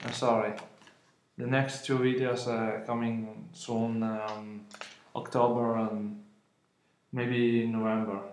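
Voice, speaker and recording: A man in his twenties from Italy, speaking English with an Italian accent, unboxing an album at home, halting with many fillers and apologies. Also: medium pitch, level tone, darkish tone, roomy sound, slight background noise. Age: 20s. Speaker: vlogger. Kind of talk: casual unboxing vlog. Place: room at home, indoors. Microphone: webcam at arm's length.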